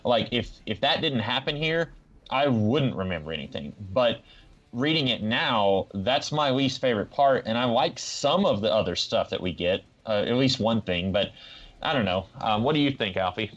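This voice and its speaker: Mid-pitched man, slightly dull lo-fi audio. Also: animated, moderate pace, fairly bright tone. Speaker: podcast co-host. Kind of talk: casual podcast discussion.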